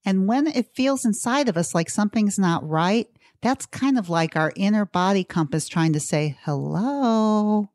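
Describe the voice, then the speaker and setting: A woman's voice: medium pitch, expressive, a little slow, hardly any pauses, darkish tone, dry recording, clean studio sound. A woman in her fifties, a weight-loss coach and podcast guest, speaking earnestly and reflectively, with easy laughter. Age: 50s. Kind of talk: conversational interview.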